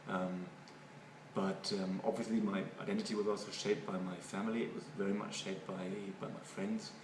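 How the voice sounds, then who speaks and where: A man from Germany with a German accent, a native German speaker, giving halting, thoughtful interview answers and often repeating words. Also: lowish voice, flat delivery. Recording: echoey room, far from mic, noisy.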